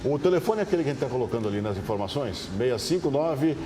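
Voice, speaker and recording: A fast, animated man in his forties interviews a guest, his pitch moving around a lot as he asks questions. He repeats key details back for the audience. Clear background noise runs under the recording. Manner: lively, quick questions, repeats details for listeners. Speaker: interviewer host. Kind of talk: casual interview.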